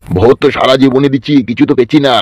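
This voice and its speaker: A man's voice: medium pitch, racing, darkish tone, dry recording, muffled recording, close to an everyday voice. A man with a rural West Bengal accent voicing a sly, cantankerous old villager in a theatrical, crude, scolding way for a comic cartoon. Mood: indignant. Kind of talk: fast comic cartoon dialogue.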